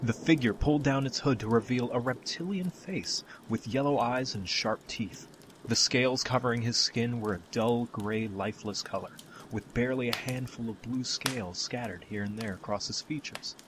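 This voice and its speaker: A man's voice: medium pitch, moderate pace, fairly bright tone, a little hiss or hum, rough recording. Male fantasy narrator over faint ambience. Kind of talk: scripted fantasy storytelling narration.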